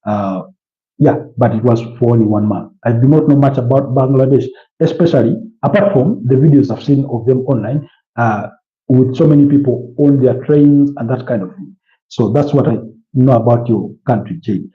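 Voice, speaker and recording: A man's voice: mid-range voice, normal speed. A man with a Ugandan accent hosting a casual football preview livestream, chatting with viewers in a hesitant way and repeating phrases. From Kampala, Uganda. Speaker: livestream host. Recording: dull, roomy, echoey sound.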